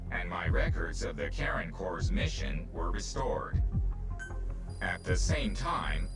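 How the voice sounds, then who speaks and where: An actor voicing a calm male artificial intelligence personality that explains things in a measured, precise, formal way. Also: medium pitch. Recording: distant voice under loud orchestral score.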